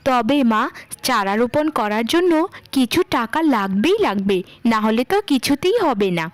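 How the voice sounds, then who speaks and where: Young village daughter played by a woman, devoted and gentle, high voice, dry cartoon dub. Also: expressive, moderate pace, dry recording, close to an everyday voice. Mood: earnest. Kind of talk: melodramatic cartoon dubbing.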